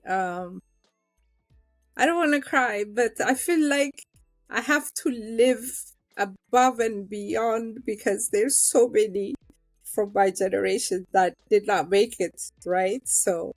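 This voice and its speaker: A woman's voice: medium pitch, slow pace, no room sound, faint music behind. A middle-aged woman from Ethiopia, a guest telling her own story in an interview, earnest and emotional, with many fillers.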